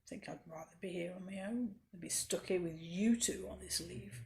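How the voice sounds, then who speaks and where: A woman voicing a sulky male butterfly bully for a children's storybook, in a low grumbling way. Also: normal speed, vocal fry, darkish tone. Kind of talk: children's storybook read-aloud.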